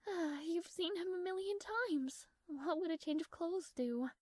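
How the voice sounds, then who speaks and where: High-pitched young princess, acted by a woman, proud, tsundere, formal and easily flustered, romantic fantasy roleplay, close dry sound. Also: a little slow, a little mellow, no echo. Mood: flustered, smitten. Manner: haughty, formal phrasing, flustered hesitations. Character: proud young tsundere princess, strong-willed, secretly smitten.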